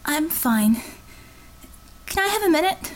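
A timid, anxious young woman, as a woman reader voices her, with a small voice that falters and stammers. She sounds higher than the narration, and her pitch keeps jumping around. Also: sing-song. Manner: hesitant, halting, small voice. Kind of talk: audiobook character reading.

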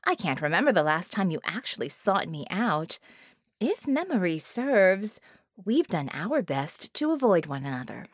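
A woman's voice: fairly low pitch, big pitch swings, normal speed, no echo, studio quality. A woman voicing a haughty, cunning, bored villainess in a cage, with taunting, formal, theatrical phrasing, for an audiobook. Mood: wry, amused.

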